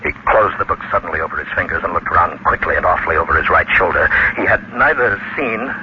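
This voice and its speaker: A man narrating a 1940s radio horror story in a theatrical, suspenseful, deliberate storytelling manner. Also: low-pitched, background noise, close to an everyday voice. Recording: dull vintage radio recording with music. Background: prominent dramatic music.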